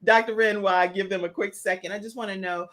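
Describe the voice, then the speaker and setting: A woman's voice: mid-range voice, fairly even intonation, fast talker, very noisy. An American woman hosting a live online talk show, chatting in an effusive, encouraging way and addressing viewers by name.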